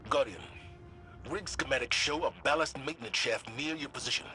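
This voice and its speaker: A resolute military commander played by a man gives orders with measured authority. The voice is mid-pitched and serious, in a video game drama with music clearly behind. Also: bright, forceful tone, natural voice. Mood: focused.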